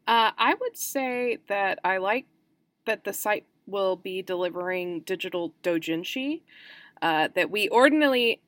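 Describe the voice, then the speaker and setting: A woman with a medium-pitched voice shares her opinions in a casual review chat. The sound is dry and free of room echo, as from a podcast panelist. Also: a bit bright.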